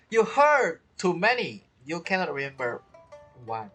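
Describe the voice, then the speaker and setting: A video game streamer giving casual commentary in halting, non-native English phrasing. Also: medium pitch, very animated, a bit bright, husky. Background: game music.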